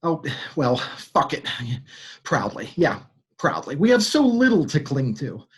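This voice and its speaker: A man's voice: a little high, huge pitch swings, measured pace, husky. A man narrating the cast list and stage directions of a play reading, with dry, sarcastic asides. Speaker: narrator and playwright. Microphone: home microphone, livestream.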